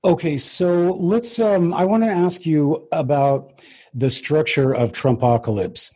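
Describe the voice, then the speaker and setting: Male interviewer. Husky, dark-toned voice, medium pitch, hesitant phrasing with frequent pauses as he builds to questions. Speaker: interviewer, host.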